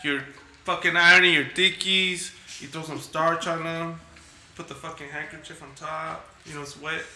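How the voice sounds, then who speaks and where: A man's voice: fairly high pitch, level tone, normal speed, sharp tone, echoey room. A man co-hosting a podcast, joking and swearing in casual banter, acting out quotes. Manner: joking, profane, acts out quotes. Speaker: podcast co-host.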